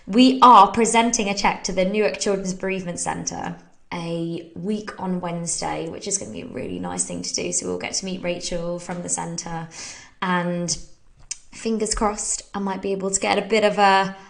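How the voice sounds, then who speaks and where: A woman's voice: mid-range voice, vocal fry, fairly bright tone. Chatty bunged-up English woman coach. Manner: chatty and upbeat, laughs often. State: bunged up from hay fever. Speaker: fitness coach and podcast host. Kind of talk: casual live Q&A, talking through exercise technique.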